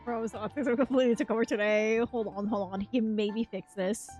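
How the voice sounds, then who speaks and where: A woman streamer giving casual live game reactions in a slangy, expressive, giggly way. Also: on the high side, brisk, faint music behind.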